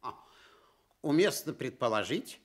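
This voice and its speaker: A man plays a scholarly male stork in a children's radio story. He is fussy and formal, picking through wordy sentences slowly. The pitch moves about freely. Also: big pitch swings. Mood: pedantic. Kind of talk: fairy-tale radio reading in character.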